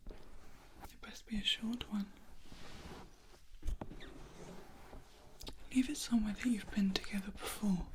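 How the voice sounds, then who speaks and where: A woman playing a protective, controlling, world-weary female vampire in a soft-spoken ASMR roleplay, whispering breathily with formal, old-fashioned phrasing. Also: medium pitch, close to an everyday voice, rough recording. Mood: gently urgent.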